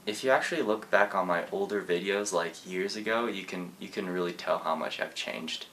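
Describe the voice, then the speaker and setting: Teen boy vlogger from Japan, laid-back rambling storyteller, slightly low voice. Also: normal speed, fairly bright tone, a little hiss or hum. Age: teens. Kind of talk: casual storytelling.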